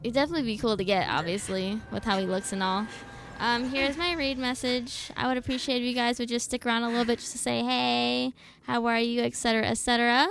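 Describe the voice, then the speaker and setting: Chatty young woman livestreamer, higher voice. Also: steady tone, fast talker, creaky, a bit bright, a little hiss or hum. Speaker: game livestreamer. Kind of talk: casual livestream chat over gameplay. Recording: background game music. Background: game music.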